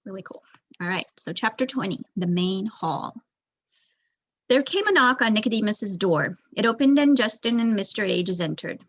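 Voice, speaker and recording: A woman's voice: mid-range voice, lively intonation, normal speed, fairly bright tone. A young adult woman reading a children's novel aloud as a storyteller, on a dull recording. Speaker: volunteer story reader, student.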